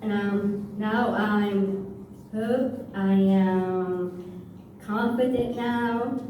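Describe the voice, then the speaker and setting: A woman self-advocate giving slow, halting, simple short answers in an interview. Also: medium pitch, steady tone, mellow. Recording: echoey room, distant mic, noisy.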